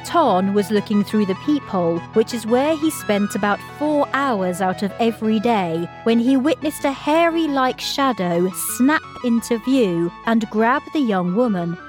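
A woman's voice: on the high side, expressive, a little slow, few pauses. A woman reading scripted horror narration as the storyteller. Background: faint music.

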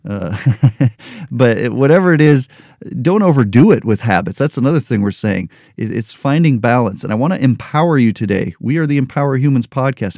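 A man's voice: mid-range voice, a little mellow, no room sound. Man in his forties, podcast host, unscripted motivational talk, self-joking, dry close sound. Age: 40s. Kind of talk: solo motivational podcast monologue.

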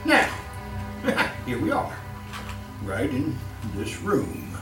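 Sinister old murderer, wildly swinging pitch, slow delivery, chuckling mock courtesy, radio horror, distant roomy stage. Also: male voice, wildly swooping pitch, music in the background, heavily acted. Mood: mock-courteous. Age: older. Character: gleeful, sinister old male serial killer. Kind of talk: live old-time radio horror acting. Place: live stage performance.

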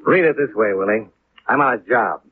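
A man's voice: on the low side, animated, normal speed, lightly acted. Terse American detective played by a man, old radio sound. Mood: businesslike. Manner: terse, clipped, wry. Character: wry, hard-boiled American insurance investigator. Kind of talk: 1950s radio detective drama acting. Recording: narrow, noisy 1950s radio recording.

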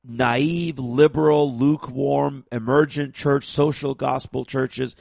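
A man with a raspy voice from a cold, hosting a program and talking forcefully to viewers, with heavy stress and stammered restarts. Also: mid-range voice, no room sound, clean studio sound. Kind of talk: emphatic monologue to viewers.

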